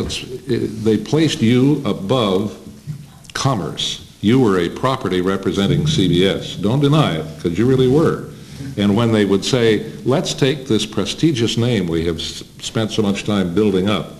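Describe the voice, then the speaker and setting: A man's voice: on the low side, a little mellow, poor audio. An older American man interviews a guest at a panel table in a conversational, wry and assertive way. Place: indoor room, seated at panel table. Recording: faint background noise.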